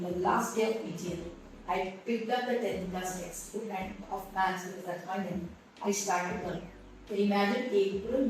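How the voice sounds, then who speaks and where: A woman's voice: medium pitch, far from the mic, slight background noise. A woman school principal with an Indian English accent, candidly recounting her school years in an interview. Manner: reflective, candid storytelling. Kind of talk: interview, personal story. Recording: echoey room, voice from the mic.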